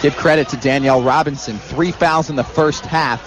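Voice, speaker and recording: A man's voice: on the high side, fairly even intonation, normal speed, a faint crowd. A man with an American accent giving emphatic, analytical color commentary on a live radio basketball broadcast from an arena.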